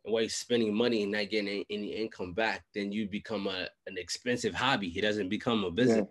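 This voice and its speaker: A low-voiced man hosts a casual interview. He talks in slang and drives points home by repeating short phrases. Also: steady tone, quick pace. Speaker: host. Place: small room at home, indoors. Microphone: large desk microphone close up, video call.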